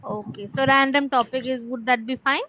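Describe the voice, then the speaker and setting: An Indian-accented woman teaches spoken English through casual conversation. Her voice is high and the sound is dry, with little room on it. She asks simple questions in an encouraging way. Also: steady tone, a little fast, sharp tone, no echo.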